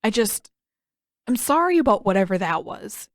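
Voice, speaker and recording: A woman's voice: mid-range voice, big pitch swings, normal speed, no room sound, studio quality, natural voice. A woman voicing an awkward, smitten teenage boy in a storybook reading, with casual, hesitant, blurting speech. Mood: awkward, apologetic.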